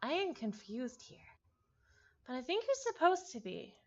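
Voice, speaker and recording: A high-voiced woman reads a visual novel aloud as a streamer. Her delivery is playful and theatrical, swinging widely in pitch and slipping into casual asides. The recording is a little dull and lo-fi. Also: sing-song, unhurried, darkish tone. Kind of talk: visual novel read-along with casual reactions.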